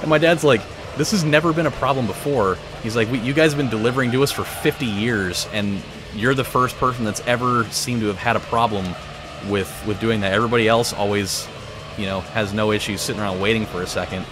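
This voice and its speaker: Guy doing gaming video chat, animated storytelling, sweary, music and car engines behind him. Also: lowish voice, fairly quick. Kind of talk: casual chat over a racing game. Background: loud game music and car engines.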